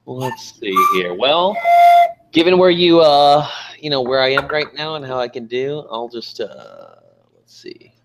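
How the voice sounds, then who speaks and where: Animated male tabletop player. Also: mid-range voice, a little slow, background noise. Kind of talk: casual game chat.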